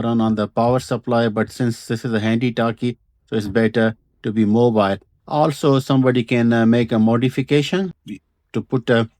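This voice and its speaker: Male hobbyist presenter, non-native English speaker, medium pitch, unscripted and hesitant delivery in short phrases, dry recording. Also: a little mellow, no room sound. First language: not English. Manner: halting, hesitant, pausing between short phrases. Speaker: vintage radio hobbyist presenter. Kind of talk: casual product showcase, unscripted demonstration.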